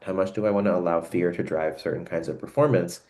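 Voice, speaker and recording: A man hosting a reflective conversation over a video call, speaking in an earnest, searching and halting way. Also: deep voice, fairly even intonation, a little mellow. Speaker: host.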